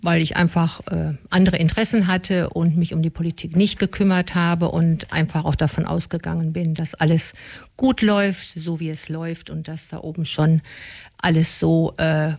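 A woman, the founder of a local group, telling her story in a casual interview with many pauses and fillers. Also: sits low, fairly even intonation, vocal fry, a little mellow. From Germany. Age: 60s.

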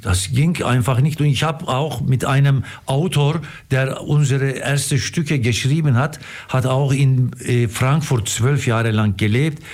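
A middle-aged or older man from Istanbul with a Turkish accent, telling stories as a radio guest, haltingly and repeating words for emphasis. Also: medium pitch, darkish tone. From Istanbul, Turkey. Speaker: interview guest, theatre director. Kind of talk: casual storytelling in an interview.

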